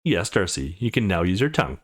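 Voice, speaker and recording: A man's voice: low-pitched, very animated, brisk, breathy edge, voice pushed down, full character voice. A man voicing an easygoing young man in a visual novel who plays a bossy teacher, mock-stern but laid-back.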